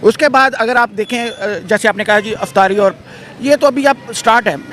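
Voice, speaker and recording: A man's voice: sits high, moderate pace, fairly bright tone. A man giving emphatic, decisive opinions in a street interview. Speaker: member of the public being interviewed. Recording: outdoor street noise, passing vehicles.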